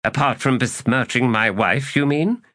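A man's voice: mid-range voice, moderate pace, sharp tone, no echo, clean studio sound, pitched above the performer's own voice, natural voice. A man voicing a whimsical, self-satisfied young king in an audiobook, with florid, mock-pompous phrasing. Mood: playful, theatrical. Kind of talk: audiobook character dialogue.

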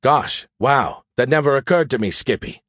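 A man's voice: medium pitch, expressive, brisk, slightly rough, no room sound, studio quality. A man playing a stubborn, sullen male military pilot in an audiobook, sarcastic and indignant but dutiful. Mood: sarcastic, stressed. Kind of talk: audiobook character acting.

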